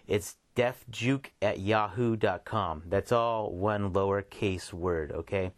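A man hosting a podcast in casual solo talk, laid-back and halting, speaking in short phrases. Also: on the low side, dry recording. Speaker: podcast host.

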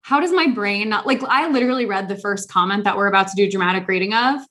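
A woman co-hosting a podcast in a casual chat, animated, laughing often and talking with many fillers. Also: medium pitch, fast. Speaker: podcast co-host.

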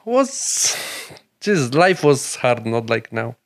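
A middle-aged man from Romania with a Romanian accent, a chef and restaurant owner speaking earnest, halting English in a podcast interview. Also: mid-range voice, sing-song. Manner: broken English, earnest and emphatic, many pauses.

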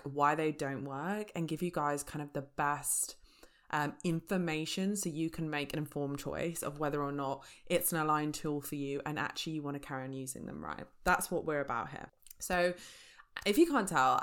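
Chatty woman with a low, croaky voice. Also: normal speed, fairly bright tone. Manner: chatty, laughs often, many fillers. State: croaky, slightly sore throat. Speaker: podcast host. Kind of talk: casual solo podcast talk.

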